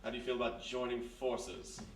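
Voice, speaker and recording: Man doing a gruff police major, talks fast and official, old radio drama, echoey and a bit far off. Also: mid-range voice, steady tone, lightly acted. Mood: businesslike. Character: gruff, authoritative police major, grudgingly helpful. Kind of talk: 1940s radio mystery acting, live on stage. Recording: echoey live room, distant mic, faint noise.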